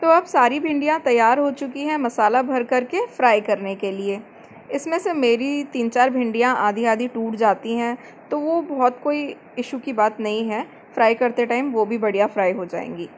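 High voiced woman talking thru a home cooking recipe, calm and clear, a bit of faint room noise. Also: moderate pace. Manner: calm, instructive, mixes English words into Hindi. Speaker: cooking channel host. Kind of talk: step-by-step cooking voice-over. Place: home kitchen.

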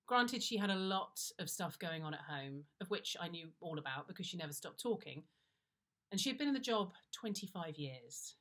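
British female storyteller, middle-aged, slightly low voice, dry confiding comic delivery. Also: moderate pace. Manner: wry, confiding, expressive timing. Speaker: storyteller, actress reading a story. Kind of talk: first-person comic story reading, conversational. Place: indoors, plain-walled room.

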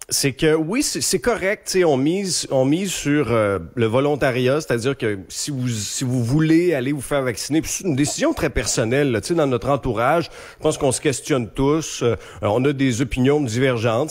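Male radio columnist, thirties, Quebec French, stuffed-up sound, very varied intonation, conversational and explanatory. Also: mid-range voice. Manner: animated, colloquial, explanatory. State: congested from a respiratory infection. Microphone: close studio microphone, remote video link.

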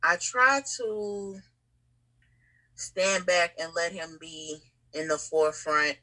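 A woman's voice: lowish voice, fairly even intonation, slow, sharp tone, low-quality recording. A woman speaking casually and candidly as a podcast guest, often checking that the listener follows. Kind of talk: casual, candid interview conversation.

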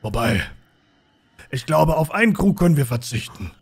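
A man voicing a hospitable village father who speaks in a deliberate, commanding way. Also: a little high, sing-song, creaky, breathy edge, pitched above the performer's own voice, exaggerated voice. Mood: loud, bossy.

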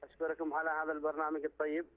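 A man's voice: a little high, monotone, very fast, dry recording. Man calling in by phone, pushy and repetitive, phone-line sound. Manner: insistent, repeats phrases, many restarts. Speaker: phone-in caller. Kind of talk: political opinion on a call-in show. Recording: dry tinny phone line.

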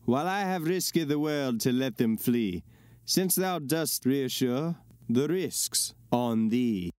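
An actor playing a formal, wary imperial commander, speaking measured archaic verse in an audio drama. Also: male voice, medium pitch, lively intonation, a little mellow, smooth, dry recording, studio quality. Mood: wary, stern.

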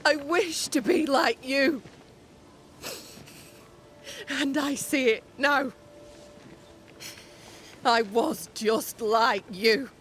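A woman playing a guilt-ridden, grieving younger sister in a dramatic video game, slow and anguished, with archaic phrasing. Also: high voice, faint music behind. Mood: anguished, bitter.

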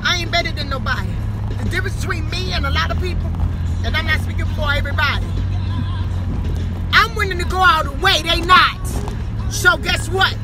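High-pitched emphatic preaching woman. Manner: emphatic, repeating phrases, preacherly. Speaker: pastor and ministry leader. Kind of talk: impassioned religious car vlog. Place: inside a car. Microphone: phone at arm's length. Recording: noisy phone recording in car.

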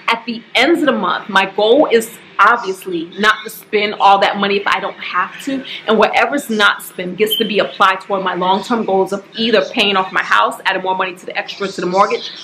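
A woman in her forties chats to the camera from the staircase of her home, expressive and easygoing, warmly calling her viewers y'all. She speaks at an everyday middle pitch. Faint room ambience sits under her voice. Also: fairly quick, a little hiss or hum, rough recording. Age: 40s. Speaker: budgeting vlogger. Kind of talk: casual direct-to-camera talk. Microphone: camera at close range.

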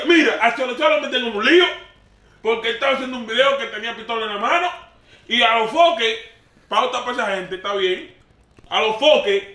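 A man with a Dominican accent in an animated casual group debate, speaking slangy and emphatic and repeating himself. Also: extremely high pitch. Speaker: talk show participant. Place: small dim lounge indoors. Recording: roomy echo, far from mic.

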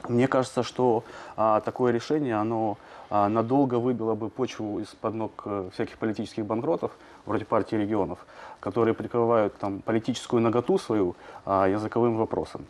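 A man with a lowish voice talks fast in a TV studio interview. His sentences run on and are broken up by repeated fillers and false starts. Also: steady tone, darkish tone. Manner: quick, full of hesitations and fillers. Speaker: journalist guest.

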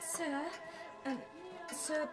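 A prim, squeamish housekeeper in a crime radio play. Her voice is high, deferential and fussy, with music clearly behind it. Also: level tone, drawn-out words. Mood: timid, deferential.

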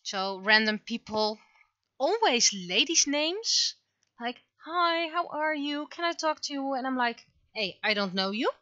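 A woman's voice: high voice, very animated, a little slow, sharp tone, no room sound, lo-fi sound. A woman chatting casually on a livestream while she works, rambling and interrupting herself in a scattered way. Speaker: livestreamer, webcomic artist. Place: dry indoor room, livestream setup.

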